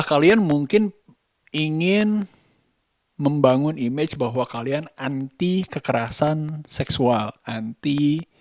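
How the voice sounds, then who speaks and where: A man giving his opinions in a casual vlog, speaking deliberately and often searching for words. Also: medium pitch, dry recording. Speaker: vlogger, host.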